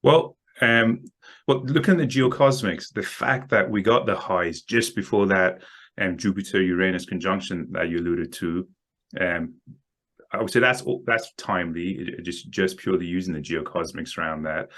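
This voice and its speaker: A man with a low voice answers questions as a guest on a market show. He speaks haltingly, repeating words and filling pauses with "um." He sounds like he is working out his answers as he goes. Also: fairly bright tone. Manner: hesitant, many ums and repeated words. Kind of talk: casual interview answers.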